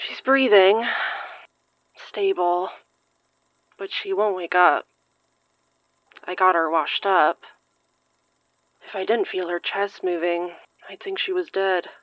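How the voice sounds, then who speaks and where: An actress playing a worried, sarcastic, sharp-tongued young woman in an audio drama, swearing in exasperation. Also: on the low side, takes it slowly, pauses often, no room sound, muffled recording. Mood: weary, wry.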